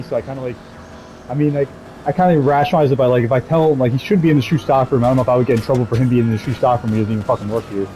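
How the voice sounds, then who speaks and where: A man tells loose, sweary anecdotes over gameplay at a quick clip. His voice is mellow and relaxed, with an amused, rambling delivery. Faint noise and game ambience sit behind him. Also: medium pitch, rough recording. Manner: rambling, profane; amused, laughs while telling stories. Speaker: gameplay video creator telling stories. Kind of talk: casual storytelling over gameplay.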